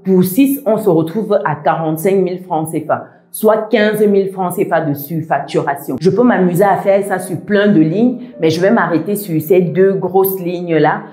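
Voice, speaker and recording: A woman vlogger speaking with a diaspora accent, explaining things in a measured, methodical way, with many hesitant pauses. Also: fairly low pitch, mellow, reverberant. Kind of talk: explaining to camera.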